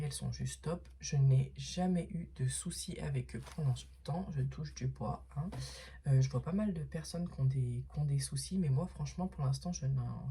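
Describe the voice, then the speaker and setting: Hoarse low-voiced woman. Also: mellow. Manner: hesitant, many fillers and restarts; drops to a whisper at times. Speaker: vlogger unboxing craft supplies. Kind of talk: casual unboxing talk.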